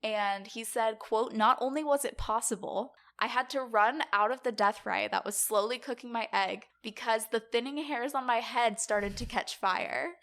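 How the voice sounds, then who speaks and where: A woman's voice: on the high side, moderate pace, fairly bright tone. A young adult woman co-hosting a podcast, explaining a topic in a casual conversational way. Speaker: podcast co-host presenting the episode's topic.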